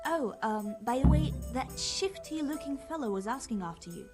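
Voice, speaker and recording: An actress playing a young tavern maid in a video game, coy, sweet and affectionate. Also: female voice, high-pitched, animated, moderate pace, loud music behind the voice. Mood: concerned. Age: young adult. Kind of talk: video game cutscene acting.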